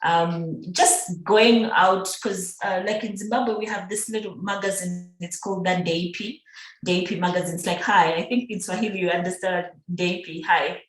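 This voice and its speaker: A middle-aged woman from Uganda, chatting as a podcast guest, animated and laughing often. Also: lowish voice, normal speed. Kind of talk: casual conversational interview. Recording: echoey room.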